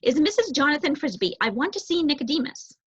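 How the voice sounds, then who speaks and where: A woman's voice: high voice, big pitch swings, fast talker, a bit bright, pitched above the performer's own voice. A woman voicing a timid, polite mother mouse with a hesitant, pleading manner in a storybook reading. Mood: desperate. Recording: roomy echo, dull muffled sound.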